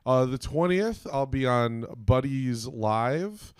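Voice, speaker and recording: A young man co-hosting a comedy podcast, rambling through stories full of ums and dry asides. His voice is clear and smooth. The recording is dry and close. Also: mid-range voice, expressive, takes it slowly, darkish tone, no echo. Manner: rambling, hesitant, dry jokes. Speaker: podcast co-host. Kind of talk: casual comedy chat.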